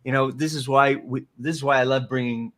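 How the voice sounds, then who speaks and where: A man's voice: medium pitch, fairly even intonation, brisk, a bit bright. A man hosting a casual online talk, upbeat and rambling, with frequent restarts. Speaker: host.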